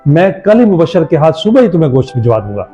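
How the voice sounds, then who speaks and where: A man's voice: on the high side, big pitch swings, dark tone, lo-fi sound, faint music behind. A man playing a strict, principled middle-aged father in a moral-story drama, speaking in an emphatic, lecturing way. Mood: friendly. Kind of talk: Urdu moral-story audio drama acting.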